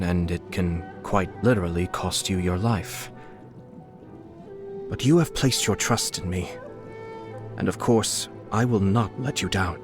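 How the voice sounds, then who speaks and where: An actor playing a suave young nobleman and scheming clan head in a video game, speaking in a polite, measured, formal and slyly amused manner. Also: on the low side. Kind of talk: scripted video game character lines.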